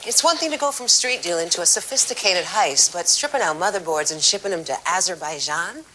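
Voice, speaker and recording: Sharp, lowish female detective. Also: lively intonation, fairly quick, slight background noise, poor audio. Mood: incredulous. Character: skeptical, assertive female police detective. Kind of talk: crime-drama acting.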